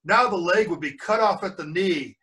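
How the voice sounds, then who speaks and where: An older man reading a storybook aloud as a grandfather would, pausing for chatty asides and questions to young listeners. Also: sits high, pushed and bright, far from the mic. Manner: pauses to quiz the listeners.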